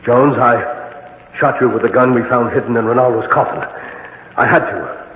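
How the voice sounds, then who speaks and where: An actor playing an authoritative, headstrong skeptical judge, speaking formally and emphatically in old-time radio drama. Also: male voice, medium pitch, normal speed, lightly acted. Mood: grave. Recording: tinny, echoey old radio recording.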